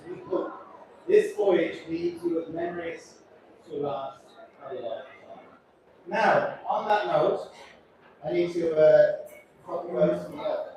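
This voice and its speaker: High-voiced captain in an echoey hall. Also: male voice, measured pace. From Ireland. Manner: chatty, joking, self-deprecating. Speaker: cruise ship captain. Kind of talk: announcement to passengers. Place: large echoey atrium on a cruise ship. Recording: echoey hall from far off, crowd noise.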